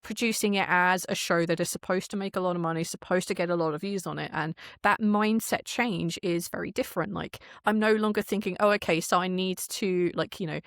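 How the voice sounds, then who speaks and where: A woman's voice: fairly low pitch, brisk, hardly any pauses, no echo, studio quality. A woman speaking informally as a podcast guest, candid and explaining things at length with rhetorical questions. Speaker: podcast guest, indie audio drama producer. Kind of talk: casual interview chat.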